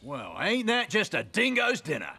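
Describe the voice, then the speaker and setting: A man voicing a brash, cheeky Australian supervillain in an animated video game, blustering and slangy in a gravelly voice. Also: high voice, normal speed, over loud music, full character voice.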